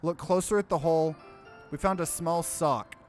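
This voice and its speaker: Higher-voiced man narrating a game playthrough. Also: normal speed, soft background music. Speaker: let's play host. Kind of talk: gaming commentary and reading game text aloud.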